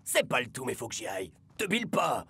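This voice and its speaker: A man voicing a slick, greedy celebrity music producer in a cartoon, a raspy, laid-back showman. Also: medium pitch, wildly swooping pitch, quick pace, a bit bright, no room sound, raised voice, heavily acted. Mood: unbothered. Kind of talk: animated cartoon dubbing. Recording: music underneath.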